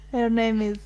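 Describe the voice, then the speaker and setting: A woman's voice: on the high side, mellow. A woman from Italy, hosting a podcast and asking her guest questions in a friendly, curious way. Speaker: podcast host. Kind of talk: casual interview chat.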